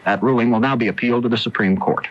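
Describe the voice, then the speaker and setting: A man's voice: mid-range voice, fast talker. An American man reading scripted news as a radio anchor on an old AM broadcast. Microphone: close dry studio broadcast mic. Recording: dull vintage AM radio recording.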